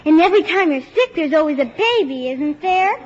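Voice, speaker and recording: A woman's voice: high voice, animated, brisk, a faint crowd. A woman playing an eager, pert young child in a 1950s radio comedy. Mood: knowing. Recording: muffled, noisy old radio broadcast.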